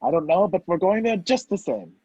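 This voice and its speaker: A mellow, husky radio-play hero on the run, acted by a man. He is urgent and dryly questioning, with wide swings of pitch. The sound is slightly muffled. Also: sing-song, fairly quick, dry recording, exaggerated voice. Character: wrongly accused man on the run, urgent and impatient. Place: video call, dry room. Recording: muffled video-call audio.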